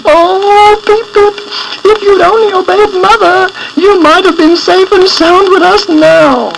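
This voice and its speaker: A man voicing a frantic, lamenting mother hen with shrill falsetto cries in a children's story. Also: steady tone, a little slow, vocal fry, exaggerated voice, poor audio. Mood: mournful. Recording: old record with music behind.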